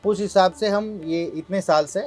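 High-voiced Hyderabadi craftsman explaining. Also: unhurried, a little mellow. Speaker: craftsman being interviewed. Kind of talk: casual interview explanation. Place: small instrument workshop.

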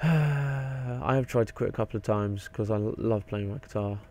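A young man with a croaky voice, rambling and swearing casually while narrating his gameplay. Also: fairly low pitch, moderate pace, darkish tone, slight background noise. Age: young adult. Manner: rambling, swears often, mutters to himself. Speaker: game video maker.

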